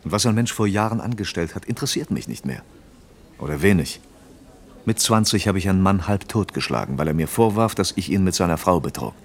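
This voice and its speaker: Very deep-voiced, earnest factory director. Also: male voice, animated, a little fast. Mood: firm, defensive. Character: firm East German factory director, earnest, impatient husband. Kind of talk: German radio drama dialogue.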